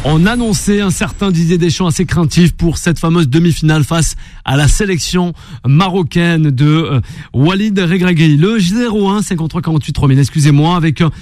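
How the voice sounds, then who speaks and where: A man hosting a radio sports call-in show, brisk and quick to cut in and steer callers. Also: fairly high pitch, darkish tone, no echo, studio quality.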